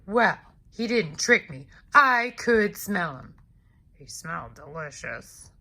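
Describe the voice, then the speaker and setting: A woman's voice: fairly low pitch, very animated, sharp tone, voice pushed down, heavily acted. A woman voicing a big, hungry male giant who tells his side of a storybook tale in an aggrieved, exclamatory way. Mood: indignant, boastful. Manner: exclamatory, indignant, deliberate storyteller. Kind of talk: expressive children's storybook read-aloud.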